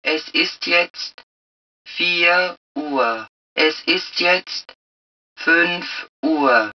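A woman voicing the calm computer of a protective suit in a video game, making impersonal, clipped, measured announcements. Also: lowish voice, far from the mic, dull audio.

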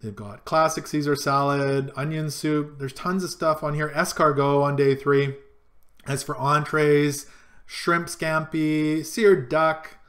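A man in his thirties hosting a casual screen-share tutorial from a small home office, listing items in a relaxed way with frequent ums, recorded on a desk webcam. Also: a little high, slow pace. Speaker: cruise vlogger.

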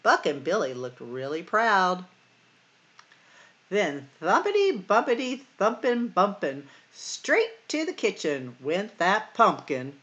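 A middle-aged woman hosting storytime, reading a picture book expressively to children with sing-song, drawn-out emphasis. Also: medium pitch, wildly swooping pitch, a bit bright, lo-fi sound. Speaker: library storytime host.

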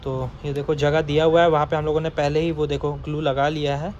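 Higher-pitched man vlogging over background noise. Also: little pitch movement, a little fast, darkish tone, dull audio. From India. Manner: informal, repeats key points for viewers. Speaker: vlogger and host. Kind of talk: casual vlog chat, explaining products to viewers. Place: small indoor motorbike accessory shop. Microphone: action camera held by the speaker. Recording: noisy room with clear ambient noise.

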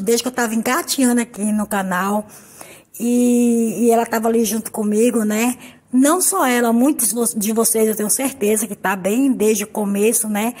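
Hoarse chatty woman from Brazil. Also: on the high side, a little mellow. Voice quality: husky. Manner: chatty, affectionate, repeats "tá, gente?". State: hoarse from a cold. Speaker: soap-making channel host. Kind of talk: casual home tutorial. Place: home kitchen counter. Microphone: phone camera on the table.

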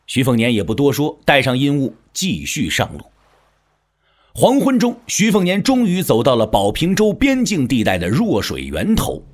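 A man narrating a martial-arts novel as a dramatic audiobook, with a measured, expressive storyteller's delivery. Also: mid-range voice. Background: background music.

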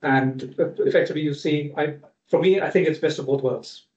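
A man from India with an Indian accent, introducing himself at a round-table meeting in a measured way. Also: a little high, steady tone, darkish tone. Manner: measured, with frequent "uh" and "kind of". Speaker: panelist. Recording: distant, echoey, dull lo-fi room sound.

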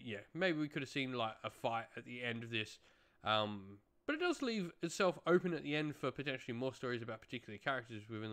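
A man's voice: mid-range voice, fairly bright tone, clear, resonant tone. Young man giving a casual review. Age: young adult. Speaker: reviewer, channel host. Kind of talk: casual audio drama review to camera. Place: small home room, indoors.

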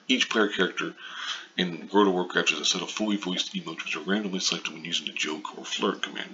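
A man with a low, sharp-edged voice delivers a blunt, sarcastic commentary in casual language full of swearing. His voice is very deep and pushes forward hard. The recording is dull and lo-fi, like a basic mic over a screen recording. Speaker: video commentator. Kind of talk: casual opinion rant over a news article. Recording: muffled lo-fi mic with faint noise.

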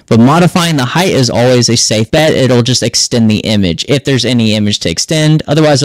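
A man's voice: mid-range voice, no room sound. A man hosting a tech tutorial, explaining step by step in a casual screen-narration style. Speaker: host of a tech tutorial channel.

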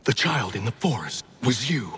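An actor playing a smug, cold villain in a video game, husky and condescending toward an old enemy. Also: male voice, medium pitch, very animated, a bit bright, exaggerated voice. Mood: smug menace. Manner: slow, condescending. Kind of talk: video game cutscene acting.

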